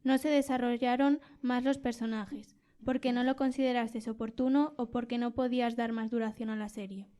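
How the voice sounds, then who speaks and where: A woman's voice: a little high, steady tone, moderate pace, hardly any pauses, dark tone. A woman interviewer delivering a prepared question to a guest.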